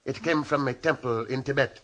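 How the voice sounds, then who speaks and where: An actor playing a grave, superstitious Chinese curio shopkeeper who speaks formally and haltingly in an old radio drama. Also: male voice, mid-range voice, steady tone, a bit bright, close to an everyday voice. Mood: grave, ominous. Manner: formal, halting, deliberate speech. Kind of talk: 1940s radio drama acting.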